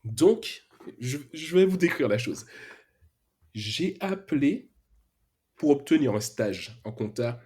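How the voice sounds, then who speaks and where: A man's voice: medium pitch, big pitch swings, takes it slowly, long pauses, a little mellow, breathy edge. A young man from Martinique with an Antillean accent, telling his story casually in a podcast interview, with lots of hesitations and slang. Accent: Antillean French. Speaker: podcast guest, freelance web developer.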